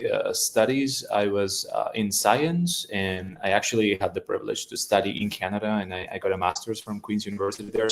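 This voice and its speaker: Immigration consultant: a man from Argentina, fairly low voice, halting delivery with many fillers. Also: gravelly. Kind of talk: self-introduction on a webinar.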